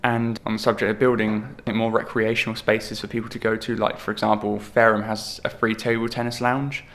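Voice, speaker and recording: Slow, low-voiced young man. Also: flat delivery, a bit bright. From Portsmouth, England. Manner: slow, measured, with many fillers. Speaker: young film participant. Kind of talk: personal testimony for a short film.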